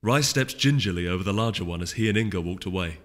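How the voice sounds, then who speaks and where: A man's voice: deep voice, fast. A man narrating a scripted story as an audiobook reader. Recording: echoey hall reverb, music behind.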